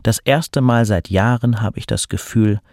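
A man playing a burnt-out poet who reads out his reflective letters in a measured, contemplative way, as scripted diary-like narration. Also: fairly low pitch, a little mellow. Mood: serene. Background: music behind the voice.

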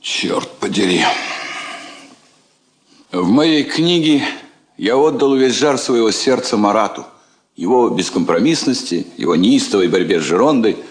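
A man's voice: on the low side, lively intonation, a little slow, pauses often. Wry, rhetorical male diplomat. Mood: indignant, wry. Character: bookish, passionate male diplomat aide, wry and indignant. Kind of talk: theatrical Russian radio drama acting.

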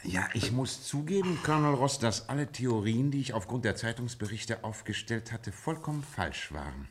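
A man playing a shrewd, self-assured detective in a German radio play, explaining things in a measured, methodical way. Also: medium pitch, big pitch swings, slight background noise, lightly acted.

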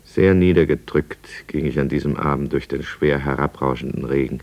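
A very low male voice plays a self-pitying middle-aged merchant who has taken to drink. He confesses in a brooding, deliberate manner. It has the style of a 1950s radio play. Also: darkish tone, highs cut off. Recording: 1950s radio drama studio recording.

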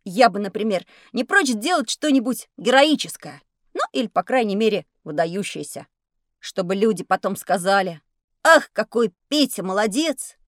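A woman voicing an excitable, adventurous schoolboy in an educational audio drama for children. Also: medium pitch, normal speed, no room sound, clean studio sound, natural voice. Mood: wistful, boastful. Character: excitable, adventurous schoolboy dreaming of heroic deeds.

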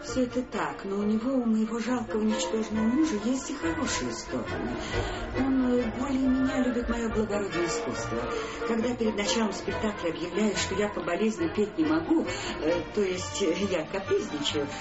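An actress playing a capricious opera singer in a radio play, haughty and argumentative. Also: female voice, mid-range voice, expressive, a little fast, highs cut off, loud music behind the voice, lightly acted. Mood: theatrically confiding.

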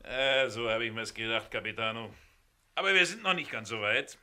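An actor playing a sly, cheeky turncoat crook in a radio crime drama, insinuating and mockingly respectful. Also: male voice, medium pitch, expressive, moderate pace, sharp tone, clean tone. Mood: mock-polite, menacing.

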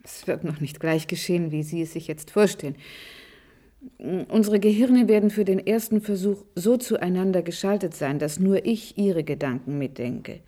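A woman's voice: on the low side, normal speed, mellow, close to an everyday voice. An actress playing an old, commanding, impatient female leader in a radio play, speaking formally and insistently. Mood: reassuring. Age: older.

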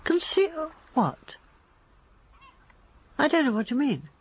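Lively well-bred English lady. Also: high voice, slow, slight background noise, heavily acted. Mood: curious. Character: well-bred English lady, chatty, loyal, easily surprised. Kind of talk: radio drama acting.

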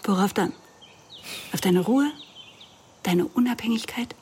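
An elegant, self-assured woman of middle age in a radio play. Her mid-pitched voice is breathy and husky, and her speech is controlled, superior and critical. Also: measured pace, darkish tone. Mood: probing. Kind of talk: radio drama dialogue.

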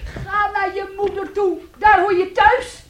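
An actress playing a bitter, defiant young daughter in a Dutch radio play, with an intense, cutting and dramatic delivery. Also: female voice, very high-pitched, level tone, a little slow, muffled recording, background noise. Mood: scornful fury. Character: bitter, defiant grown daughter, fiercely accusing and pleading.

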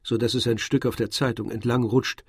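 A man narrating a literary radio drama from a script in a measured storytelling manner. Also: medium pitch, level tone, breathy edge. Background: faint music.

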